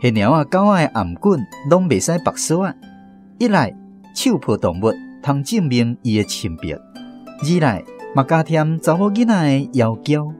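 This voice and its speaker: A man with a Taiwanese accent narrating a radio drama in a folksy, expressive storytelling manner. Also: fairly high pitch, a little slow, over music.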